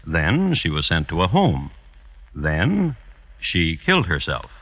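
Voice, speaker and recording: Low-voiced dry crime chronicler. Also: male voice, wildly swooping pitch, very noisy, exaggerated voice. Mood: grave, wry. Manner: deliberate, dry, dramatic. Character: dry, measured male crime chronicler hosting the tale. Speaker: narrator host of crime series. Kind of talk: 1950s radio narration. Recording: dull vintage radio recording.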